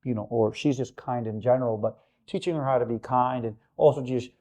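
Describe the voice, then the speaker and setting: A man from Honduras in his forties, a business-owner guest who talks in a halting way with many fillers. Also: mid-range voice, warm tone. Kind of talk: casual interview chat.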